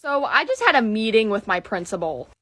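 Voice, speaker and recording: A young adult woman gives a casual personal storytime. Her voice is somewhat high and clearly bright, with a sharp edge. The sound is very dry, with no room echo. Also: sing-song, a little fast.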